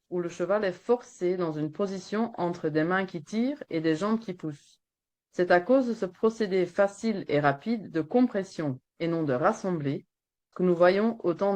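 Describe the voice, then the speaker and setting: A woman's voice: lowish voice, a little mellow. A woman with a Flemish accent, French not her first language, reading an earnest prepared presentation as a veterinarian in a webinar over a video call. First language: Flemish Dutch. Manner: earnest, reads steadily from a text.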